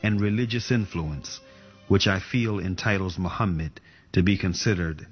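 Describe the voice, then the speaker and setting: A man with a low voice reads quotations in a slow, measured voice-over. The recording is dull and lo-fi, and music plays faintly beneath him. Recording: dull lo-fi audio.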